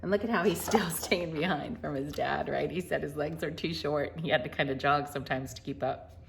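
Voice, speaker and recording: Casting: American woman storyteller for kids, slightly low voice, informal and animated, faint ambient noise behind. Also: brisk, gravelly. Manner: informal, chatty, expressive. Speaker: children's read-aloud storyteller. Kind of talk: storybook read-aloud with casual asides to children.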